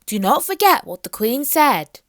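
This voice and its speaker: A woman's voice: high voice, very animated, moderate pace, dry recording, studio quality, voice pushed up. A woman voicing a dutiful mermaid servant from a storybook, giving firm instructions. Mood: warning.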